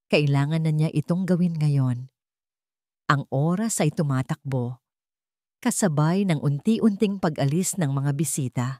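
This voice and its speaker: A woman narrator with a fairly low voice reads a dramatic story. The recording is dry and close, with very little room sound. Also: mellow, no room sound.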